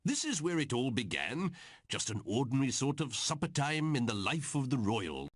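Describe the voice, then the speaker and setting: A man playing a court magician who guides a quest as an expressive storyteller in a video game, on noisy old audio. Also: mid-range voice, normal speed, close to an everyday voice. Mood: storytelling. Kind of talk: video game cutscene narration.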